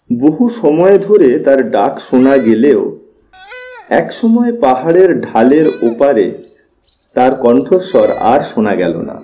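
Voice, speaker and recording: Guy reading a suspense story real slow n deliberate, very dark mellow voice. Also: medium pitch. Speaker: audiobook narrator. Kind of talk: scripted suspense story narration.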